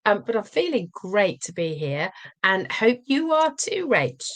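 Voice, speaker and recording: A woman co-hosting a casual chat podcast as a coach, slightly croaky after a cold, talking thoughtfully with many ums. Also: medium pitch, very animated, measured pace.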